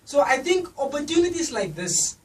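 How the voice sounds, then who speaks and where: A young man, a student, giving a loud, rousing speech at an event. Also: extremely high pitch, very animated, moderate pace. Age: young adult. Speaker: student association speaker. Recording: distant mic, faint noise.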